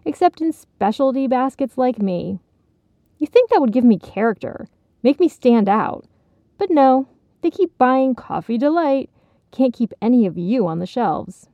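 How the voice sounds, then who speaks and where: A woman's voice: on the high side, no room sound, phone-quality sound, pitched above the performer's own voice. A woman voicing a grumpy, jaded female gift basket in a story, sarcastic and grousing.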